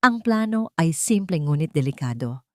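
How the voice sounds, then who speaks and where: A woman reading a scripted romance story as narrator, with deliberate, dramatic phrasing. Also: low-pitched, sing-song, dark tone, dry recording, studio quality.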